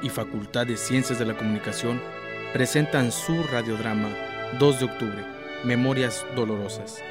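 A man's voice: medium pitch, steady tone, normal speed. A man reading scripted documentary narration for a radio drama. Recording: narration over clear background music.

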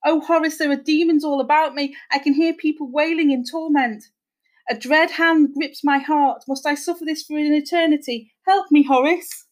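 A woman's voice: sits high, steady tone, fairly quick, hardly any pauses, pitched above the performer's own voice, lightly acted. A woman voicing the tearful ghost of a dead wife, wailing and imploring as in a spooky story.

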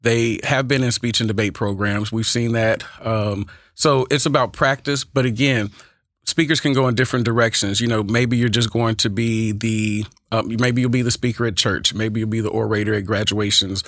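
A man's voice: fairly low pitch, fairly even intonation, normal speed, a bit bright, no room sound, studio quality. A man with an Oakland accent and a slight stutter and lisp, telling stories earnestly as a speech and debate coach being interviewed. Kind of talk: conversational interview. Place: small room.

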